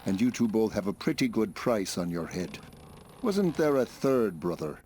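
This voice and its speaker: A mocking hired gun from a western game. He talks coolly and tauntingly in a fairly low voice, with faint ambient noise behind him. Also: very animated, dark tone. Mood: coolly menacing. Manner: unhurried, taunting. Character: cool, mocking hired gunman of the Old West. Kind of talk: video game cutscene acting.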